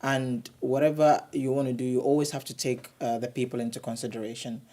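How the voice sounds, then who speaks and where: A man's voice: mid-range voice, steady tone, fairly quick, mellow. A man who is a city councillor, answering interview questions hesitantly, often repeating words.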